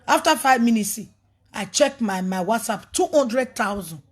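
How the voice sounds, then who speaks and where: Husky woman preaching. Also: a little high, animated, unhurried. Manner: mixes pidgin, English and a local language, preachy and emphatic. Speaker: ministry leader speaking on a live video. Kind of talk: animated livestream talk.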